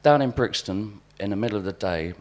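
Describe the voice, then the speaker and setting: A man with a very low voice performs spoken word. He reads short observed vignettes in a deadpan storytelling style, as at a live literary night. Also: fairly quick. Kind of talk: live reading of overheard vignettes. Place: live literary event in a venue.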